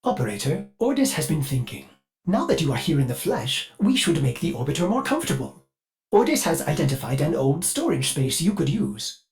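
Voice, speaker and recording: An actor voicing a servile, anxious-to-please ship artificial intelligence in a video game, polite, formal and theatrical. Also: male voice, fairly high pitch, big pitch swings, a little fast. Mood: excited. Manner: polite, formal, theatrical, speaks of himself in third person. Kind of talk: scripted video game character lines.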